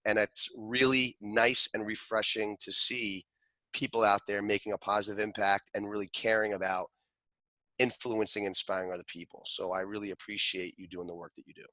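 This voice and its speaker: Earnest male guest, lowish bright voice, dry close sound. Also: little pitch movement, moderate pace, no echo, low-quality recording. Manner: earnest, reflective, many restarts. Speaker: guest, martial arts grandmaster. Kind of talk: interview conversation.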